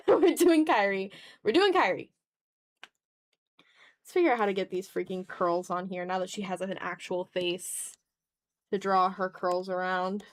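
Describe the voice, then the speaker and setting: A tired woman with a mid-pitched voice hosts a casual drawing stream. She talks in a self-deprecating way, drifting into mutters to herself. The sound is close and dry, with very little room in it. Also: no echo. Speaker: art livestreamer and host. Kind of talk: casual livestream chat while drawing.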